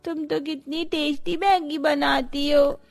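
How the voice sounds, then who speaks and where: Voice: sits high, flat delivery, slow pace, creaky, voice pushed up, exaggerated voice. A performer voicing an innocent, hungry little girl in a cartoon story, childlike and pleading. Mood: coaxing. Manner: childlike, plaintive.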